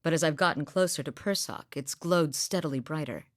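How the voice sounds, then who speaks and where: A seasoned huntress from a distant southern island, voiced by a woman, speaking low and deep with dry, wry practicality. Her lines come from a video game, recorded close and dry in a studio. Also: fairly quick, no room sound, lightly acted. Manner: wry, dry, matter-of-fact. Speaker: game companion character. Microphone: close studio mic.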